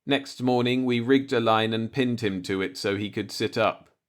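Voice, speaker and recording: A man's voice: mid-range voice, moderate pace. A man reading a light-hearted short story aloud as an audiobook narrator, in a scripted storytelling style.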